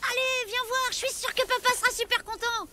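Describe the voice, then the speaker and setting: A woman voicing an eager, cheeky, affectionate little boy in an animated children's cartoon, chatty and excitable. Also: very high-pitched, fairly quick, very noisy. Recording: music behind the voice.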